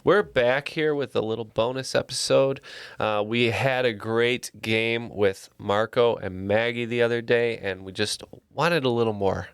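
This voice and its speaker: A man's voice: medium pitch, measured pace, smooth, no echo, studio quality. A man co-hosting a trivia podcast in a casual, chatty way.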